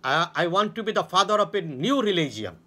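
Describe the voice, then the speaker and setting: A man with a Bangladeshi accent talks straight to his viewers in halting non-native English. He lectures in a grand, self-assured way, repeats himself and restarts his sentences again and again. His voice sits a bit high and sounds bright, and the pitch swings widely. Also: sing-song. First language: Bengali. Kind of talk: rambling monologue to viewers.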